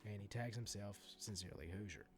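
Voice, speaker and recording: A man's voice: on the low side, fairly quick, a little mellow, soft background music. Congested, ranting man with a sore throat. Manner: exasperated, indignant ranting. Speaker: sports card channel host. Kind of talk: ranting commentary. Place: home room.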